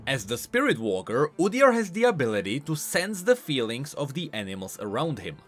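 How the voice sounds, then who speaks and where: A man with a lively, widely swinging delivery narrates scripted game lore commentary. He explains things in an animated way at a middle pitch, with faint music behind him.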